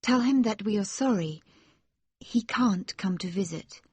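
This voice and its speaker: Male narrator doing a tender anxious young wife, high falsetto, slightly husky. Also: unhurried, no echo. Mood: pleading. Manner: high strained falsetto, pleading.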